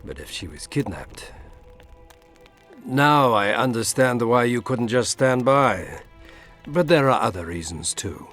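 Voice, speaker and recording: An actor playing a courteous, scheming middle-aged Imperial ambassador in a fantasy game, formal and politely menacing. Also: male voice, mid-range voice, very animated, measured pace, a bit bright, faint music behind. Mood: coolly courteous. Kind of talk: scripted fantasy game dialogue.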